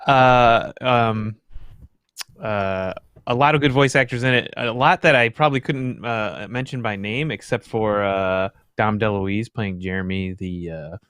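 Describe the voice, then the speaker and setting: A man around his thirties chatting casually in a hesitant, halting way. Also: on the low side, fairly bright tone, clear, resonant tone, no room sound, clean studio sound. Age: 30s. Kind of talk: casual chat.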